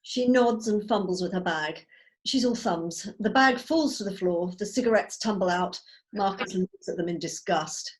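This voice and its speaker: A woman's voice: mid-range voice, moderate pace, poor audio. A woman giving scripted narration, reading out stage directions on a video call.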